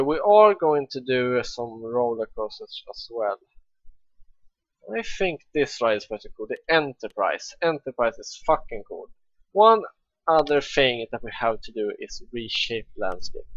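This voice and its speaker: A young man from Sweden with a Swedish accent, chatting casually and excitedly as he plays a game, swearing freely. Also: mid-range voice, no echo, muffled recording. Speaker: let's play gamer. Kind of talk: casual gameplay commentary.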